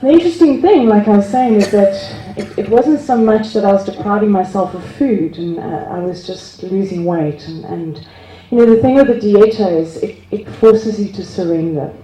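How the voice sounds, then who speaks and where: A woman's voice: medium pitch, normal speed, few pauses, mellow, reverberant, a little hiss or hum, rough recording. A woman speaking into a microphone at a group gathering, sharing her experience in a casual, self-deprecating way with frequent laughs and restarts. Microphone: handheld microphone.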